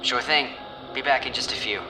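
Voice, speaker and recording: An actor playing an easygoing, teasing detective in an audio drama, casual and folksy. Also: male voice, mid-range voice, fairly quick, over music, close to an everyday voice, rough recording. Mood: matter-of-fact.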